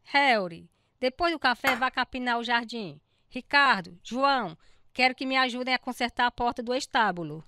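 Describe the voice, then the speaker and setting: A woman playing a strict, brisk farm aunt in a radio drama, commanding and emphatic. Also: on the high side, lively intonation, pushed and bright, no room sound. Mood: stern.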